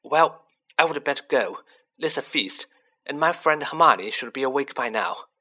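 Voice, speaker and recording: A narrator voicing a plucky schoolboy from a storybook. He sounds earnest and modest, with a bright tone and lively pitch. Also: moderate pace. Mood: casual.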